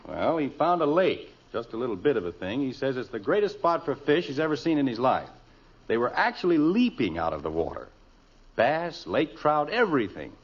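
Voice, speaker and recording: A man's voice: medium pitch, moderate pace, husky. An actor playing an exasperated middle-aged husband and father, grumbling in old radio sitcom style. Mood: eager. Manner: grumbling, animated. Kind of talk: 1940s-50s radio sitcom acting. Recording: muffled, hissy old radio recording.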